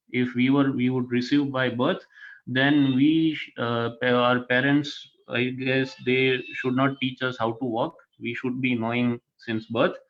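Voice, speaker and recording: A man with a Gujarati Indian accent, a native Gujarati speaker, talks to students in a mid-pitched voice. His delivery is an informal, halting lecture with frequent little check-in tags. The sound is dull, lo-fi online call audio. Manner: halting, often checks with "right?" and "fine". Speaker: guest speaker, corporate HR manager. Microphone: online video call.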